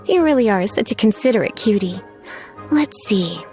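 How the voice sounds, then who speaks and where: A woman's voice: mid-range voice, animated, normal speed, a little mellow, faint music behind, close to an everyday voice. A woman playing a coy, languid adult female librarian in an anime game, teasing and sweetly menacing as she drawls.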